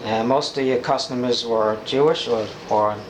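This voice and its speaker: A man's voice: mid-range voice, normal speed. A man interviewing someone informally, asking short questions and repeating answers back to prompt the speaker. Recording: echoey, noisy room recording.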